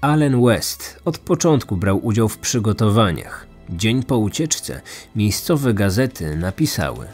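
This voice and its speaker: A man's voice: lowish voice, very animated, measured pace, darkish tone, faint music behind. A man narrating a scripted true crime podcast as its host.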